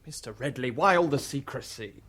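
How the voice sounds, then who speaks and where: An actor playing a high-spirited, questioning spaceship crewman with a poetic streak, animated and challenging in a radio drama. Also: moderate pace, lightly acted. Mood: questioning, wry.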